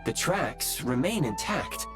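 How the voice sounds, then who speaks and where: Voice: medium pitch, very animated, slow. An actor voicing a polite, dutiful robot guide in a video game, speaking in a formal, precise and courteous way. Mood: formal, assured. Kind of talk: scripted video game voice acting. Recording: music behind the voice.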